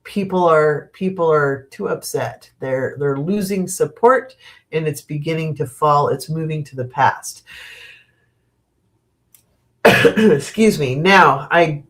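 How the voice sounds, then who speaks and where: A middle-aged American woman with a sniffly, stuffed-up voice, hosting a live tarot stream at home, rambling slowly with fillers and restarts and laughing at her own asides. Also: low-pitched. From United States. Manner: slow, rambling, thinking aloud with many fillers and restarts. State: sniffly from allergies. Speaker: tarot reader and channel host. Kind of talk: live-stream tarot reading, casual chat.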